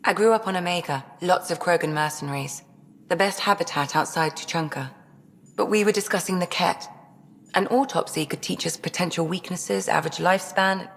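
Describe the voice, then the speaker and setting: A woman's voice: on the low side, brisk, creaky, fairly bright tone, big-room sound, soft background music. A woman playing an eager, nervous alien medic and science enthusiast in a video game, hesitant yet keen. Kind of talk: video game dialogue acting.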